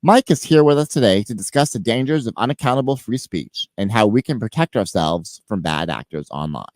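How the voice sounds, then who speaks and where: Male host with a head cold, mid-pitched, lively rises and falls, close dry mic. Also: fairly quick, no echo. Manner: emphatic, list-like delivery with swings in level. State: stuffed up with a cold. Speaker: podcast host. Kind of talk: scripted monologue then casual interview.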